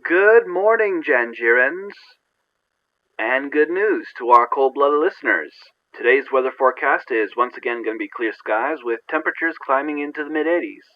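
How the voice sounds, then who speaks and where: A man's voice: medium pitch, animated, normal speed. A man playing an upbeat morning radio announcer with presenterly patter, heard through a radio filter. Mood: chirpy. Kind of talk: radio broadcast acting. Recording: dull, band-limited radio sound.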